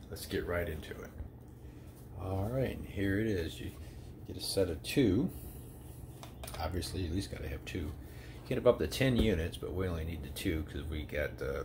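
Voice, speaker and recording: Middle-aged man, deep voice, laid-back home reviewer, steady hiss behind him. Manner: easygoing, rambling with many "uh"s. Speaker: product reviewer. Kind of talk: casual product review. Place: indoors at home.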